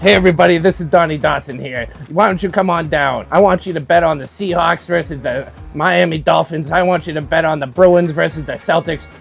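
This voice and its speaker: A man voicing a brash sports-betting ad pitchman with a loud hard-sell patter. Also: a little fast, gravelly, few pauses, faint music behind. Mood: hyped.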